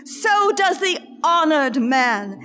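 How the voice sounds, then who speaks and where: An actress playing a gushing female swindler in a classical stage farce, effusive with overdone theatrical flattery. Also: high voice, takes it slowly, long reverb tail, full character voice.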